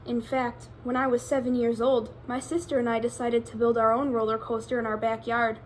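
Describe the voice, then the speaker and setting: A woman's voice: on the high side, level tone, brisk, a little hiss or hum. A woman reading a picture book aloud to children, expressively, addressing them directly with questions like a teacher.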